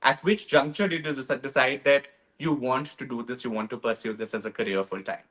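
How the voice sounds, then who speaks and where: A man hosting a podcast, asking measured questions. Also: medium pitch, fairly bright tone. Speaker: podcast host. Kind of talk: interview questions.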